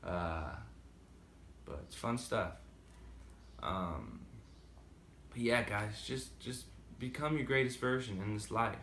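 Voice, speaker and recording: A man's voice: on the low side, lively intonation, slow pace, pauses often, fairly bright tone, echoey room, slight background noise. A man with a slight Boston accent talking casually and rambling with many fillers in a motivational vlog. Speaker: vlogger. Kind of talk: casual motivational talk to camera.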